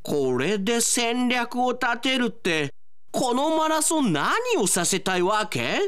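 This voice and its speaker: A man playing an excitable, whiny, hapless young man in a comic radio drama, with theatrical exclamations. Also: very high-pitched, slow, clear, resonant tone, full character voice. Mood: bewildered. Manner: theatrical exclamations, sprinkles in English phrases.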